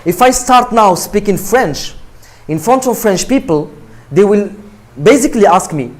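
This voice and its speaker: A man's voice: high voice, animated, unhurried. A man from Morocco, speaking English as a guest speaker in an emphatic motivational talk to students, often checking in with "right?" and repeating himself.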